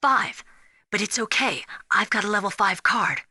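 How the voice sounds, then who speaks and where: Plucky, bright-voiced young rookie soldier. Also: female voice, mid-range voice, moderate pace, slightly rough, no echo, studio quality. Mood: concerned, alert. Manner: frank, plucky, expressive. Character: spirited, earnest young rookie woman soldier, eager to prove herself. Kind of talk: dramatic video game voice acting.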